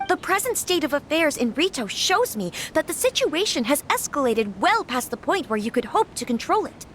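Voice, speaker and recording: An actress playing a composed young noblewoman who speaks calmly, firmly and articulately, in a studio game. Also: high-pitched, moderate pace, a little hiss or hum. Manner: calm, firm, articulate. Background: music.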